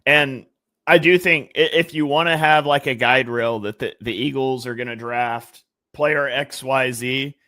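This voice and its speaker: A man's voice: mid-range voice, fairly even intonation, a little slow, pushed and bright, no room sound. A man talking casually as a guest analyst on a sports podcast, thinking aloud with fillers and restarts.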